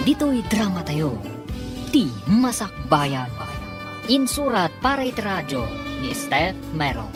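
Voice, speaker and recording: A woman narrating a radio serial in a dramatic, declamatory style, drawing out the titles. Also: sits low. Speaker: announcer and narrator.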